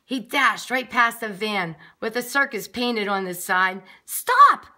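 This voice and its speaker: A woman's voice: mid-range voice, unhurried, pushed and bright. A woman nursery teacher reading a picture book aloud expressively, with chatty asides to young children. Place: classroom, indoors.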